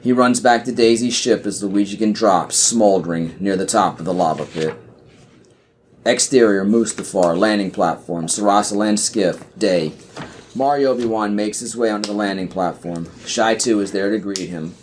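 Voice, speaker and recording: A man's voice: on the low side, normal speed, slight background noise. A man reading scripted scene directions as the narrator of a podcast audio play.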